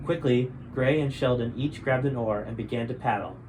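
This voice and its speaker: A man's voice: medium pitch, moderate pace. Man narrating an adventure story, faint noise. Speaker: author reading own book.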